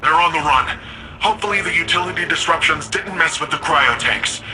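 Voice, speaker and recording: An actor playing an upbeat, cocky young guardian of a futuristic city, slangy and playful, in video game dialogue. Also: male voice, fairly high pitch, expressive, a little fast, sharp tone, a little hiss or hum, low-quality recording. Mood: casual, alert.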